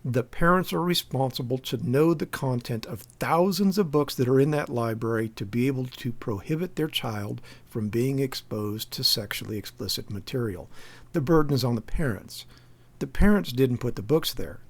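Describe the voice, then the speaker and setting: A man's voice: medium pitch, moderate pace. An American man delivering spoken rebuttal commentary in an emphatic, pointed, argumentative way. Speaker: activist commentator giving a response.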